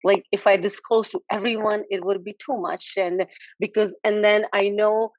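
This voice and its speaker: A woman's voice: medium pitch, fairly even intonation, dry recording. A young woman, a student asking a question in a group discussion, who stutters with blocks and repeated sounds and speaks candidly. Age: young adult. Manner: halting, candid. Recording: dull lo-fi online call audio.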